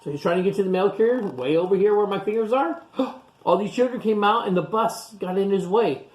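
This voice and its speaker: A woman teacher reading a picture book to young children, expressive and pausing to explain things simply in child-directed speech. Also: mid-range voice, rough recording.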